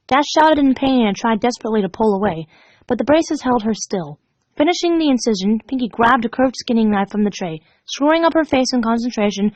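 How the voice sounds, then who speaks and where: Animated woman reading a dramatic story. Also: fairly high pitch, no room sound, low-quality recording. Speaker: fan fiction reader.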